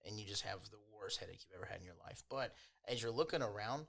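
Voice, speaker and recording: A man acting as game master, improvising tabletop game narration in an informal, hedging, unhurried way. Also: fairly low pitch, expressive, vocal fry, a bit bright.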